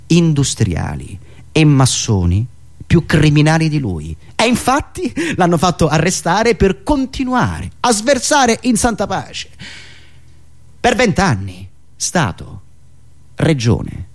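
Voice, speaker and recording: Theatrical man, highly animated, high-pitched. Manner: indignant, sarcastic, declamatory, with dramatic repetitions and pauses. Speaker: satirical monologist and radio show host. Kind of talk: theatrical political satire monologue.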